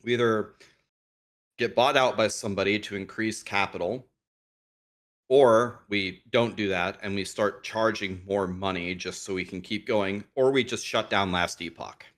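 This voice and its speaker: A man who is a guest on a podcast, talking casually and frankly while his voice is going hoarse. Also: lowish voice, a little slow, fairly bright tone. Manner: frank, thinks aloud with restarts. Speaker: guest streamer.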